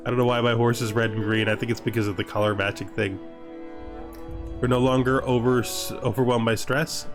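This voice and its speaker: A man's voice: mid-range voice, level tone, brisk, over music. A man giving casual gameplay commentary, reading game text aloud and reacting with dry, offhand humour. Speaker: gaming video host.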